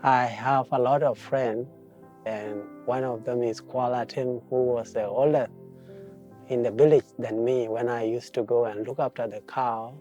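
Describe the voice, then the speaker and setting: A man's voice: mid-range voice, steady tone, unhurried, mellow, faint music behind, low-quality recording. A young man from South Sudan with a South Sudanese accent, earnestly telling his life story in a documentary interview.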